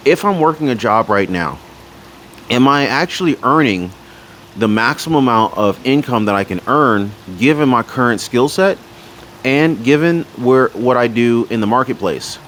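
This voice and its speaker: A man's voice: mid-range voice, a bit bright, a little hiss or hum. A man hosting a personal finance channel, talking casually to his viewers and often restarting his sentences. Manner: rambling with frequent restarts and fillers. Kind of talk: casual talk to camera.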